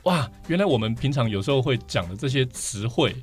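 Fast-talking Taiwanese Mandarin man over music. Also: medium pitch, animated. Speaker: podcast guest, vinyl collector. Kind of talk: enthusiastic explanatory chat. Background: background music.

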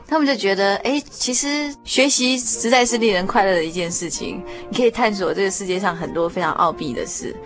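A woman's voice: mid-range voice, brisk, few pauses, soft background music. A young woman with a Taiwanese Mandarin accent hosting a conversational radio interview, often chiming in with agreement. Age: young adult. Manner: frequent affirming backchannels. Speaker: radio program host.

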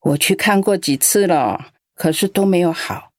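A woman's voice: lowish voice, moderate pace, no room sound, studio quality, close to an everyday voice. A woman acting a lonely elderly grandmother in a radio drama, doting on the young but scolding her grown daughter in an aggrieved way. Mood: fond. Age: older. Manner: fond and sighing, turns scolding and aggrieved.

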